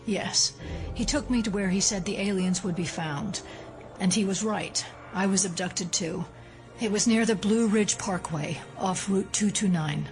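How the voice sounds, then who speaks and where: An actress playing a resolute, analytical female FBI agent in an audio drama, explaining things seriously and deliberately. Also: medium pitch, a little mellow, faint music behind, natural voice, low-quality recording.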